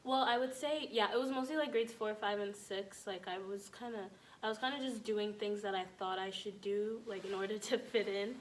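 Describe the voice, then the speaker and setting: A woman's voice: medium pitch, fairly even intonation, moderate pace, hardly any pauses, slight background noise. A young adult woman telling personal stories casually as a podcast guest, in a chatty, informal, giggly way.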